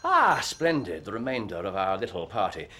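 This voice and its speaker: An actor playing a brilliant, self-assured Victorian detective, with a British accent and precise, wry, theatrical diction. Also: male voice, on the low side, huge pitch swings, moderate pace, sharp tone, heavily acted. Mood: amused, urbane. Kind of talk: period mystery drama acting.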